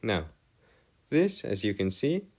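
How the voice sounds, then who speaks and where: Slow, low-pitched male math tutor, hesitant. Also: very animated, a little mellow. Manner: hesitant, self-correcting, formal wordy phrasing. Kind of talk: semi-improvised explanatory lesson.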